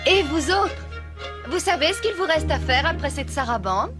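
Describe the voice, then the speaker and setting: A cartoon headmistress who is kindly yet firm. Her high voice is brisk and encouraging, with natural authority. Music plays clearly behind her. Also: lively intonation. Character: kind, firm woman running a boys' boarding school. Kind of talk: dubbed cartoon acting.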